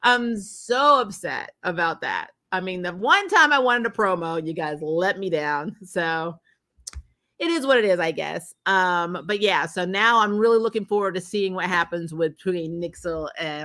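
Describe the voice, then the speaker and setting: A woman with a somewhat low voice and a bright, forceful edge hosts an off-the-cuff review. She talks casually and slangily, peppering her sentences with fillers like "you know". She is animated and acts out reactions as she retells scenes. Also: normal speed. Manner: animated, exclaiming, acts out reactions, laughs often; informal slangy talk with frequent "like" and "you know". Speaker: host of a TV episode review show.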